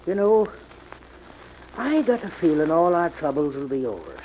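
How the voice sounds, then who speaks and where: An actor playing a folksy, deferential but sly manservant in an old radio mystery, hesitant and insinuating. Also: male voice, a little high, big pitch swings, normal speed. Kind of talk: 1940s radio mystery acting. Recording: muffled, noisy old-time radio recording.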